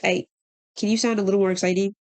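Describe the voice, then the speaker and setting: A woman's voice: mid-range voice, flat delivery, quick pace. A young woman hosting her channel, sounding congested and under the weather, chatty and blunt as she ranks logos. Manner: chatty, blunt, laughs often. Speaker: channel host. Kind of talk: casual ranking chat.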